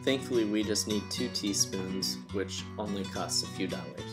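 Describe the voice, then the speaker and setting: A young man giving a calm, step-by-step cooking lesson as voice-over. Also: fairly low pitch, moderate pace, loud music behind the voice. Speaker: cooking teacher. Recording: distant echoey room with noise, video call.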